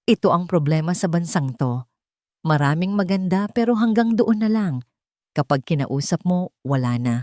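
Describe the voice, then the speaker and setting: A woman's voice: fairly low pitch, lively intonation, moderate pace, warm tone, no echo, clean studio sound, close to an everyday voice. A woman with a Filipino accent voicing an arrogant, cruel rich man in an audio story, mocking and condescending. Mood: scornful.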